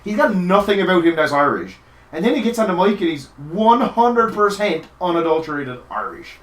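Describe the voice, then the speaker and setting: A man's voice: high-pitched, big pitch swings, brisk, a bit bright. A young man from Newfoundland co-hosting a casual chat show, rambling, swearing casually and laughing often. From Newfoundland, Canada. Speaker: podcast co-host. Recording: echoey room.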